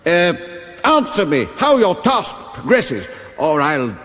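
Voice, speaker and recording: A man's voice: high voice, sing-song, takes it slowly, fairly bright tone, faint music behind, exaggerated voice. An actor playing a foolish, timid king, over-polite, hesitant and bumbling, in a radio fantasy comedy. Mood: nervous dithering. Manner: hesitant, bumbling, mildly apologetic. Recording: echoey reverberant hall.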